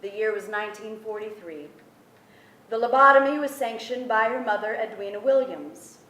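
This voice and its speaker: A young woman acting a gentle sister and narrator in a careful dramatic staged reading. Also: medium pitch, animated, normal speed. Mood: grave. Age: young adult. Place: theatre stage before an audience. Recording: echoey theatre, distant microphone.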